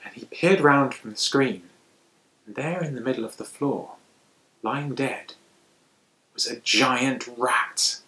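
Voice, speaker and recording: A man telling folktales to children in an animated, theatrical way, with dramatic pauses and hushed asides. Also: mid-range voice, low-quality recording. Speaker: storyteller.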